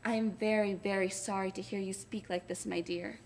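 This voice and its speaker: A woman voicing a timid, submissive wife who speaks softly and stammers in a storybook reading. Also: mid-range voice, steady tone, brisk, a little hiss or hum, close to an everyday voice. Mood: gentle. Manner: stammering, soft, hesitant.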